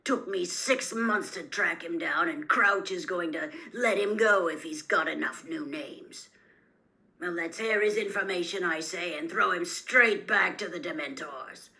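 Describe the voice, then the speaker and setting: A woman's voice: fairly low pitch, unhurried, bright, forceful tone. Woman doing a growling, bitter male veteran hunter. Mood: bitter, contemptuous. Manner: sardonic, contemptuous.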